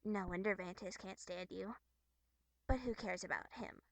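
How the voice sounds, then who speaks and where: A woman's voice: medium pitch, normal speed, no room sound, close to an everyday voice. A woman voicing a brash, cocky teenage troll girl who talks in a mocking, sarcastic way.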